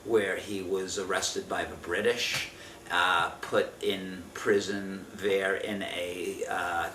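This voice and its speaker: A man in his fifties recounts family history in an interview with a deep voice that has a clear forward edge. He speaks in a measured, halting way, picked up from a distance in a roomy space. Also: little pitch movement, sharp tone. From Israel. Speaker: interviewee giving family testimony. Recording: roomy, distant mic with faint noise.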